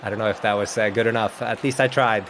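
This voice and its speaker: A man's voice: on the low side, fairly even intonation, fast talker, a bit bright. Guy doing play-by-play, chatty, laughs a lot, faint hiss behind. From Sweden. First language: Swedish. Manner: chatty, laughs often, reads out chat. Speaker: esports commentator. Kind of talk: play-by-play commentary.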